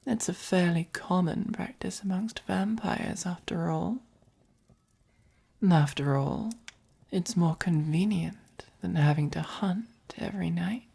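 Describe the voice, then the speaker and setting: Woman playing a whispery, low English vampire. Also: heavily acted. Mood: coaxing, possessive. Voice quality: breathy, whispery. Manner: slow, coaxing, gently commanding. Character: gentle, possessive, doting dominant vampire keeping a human pet. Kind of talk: soft-spoken ASMR roleplay.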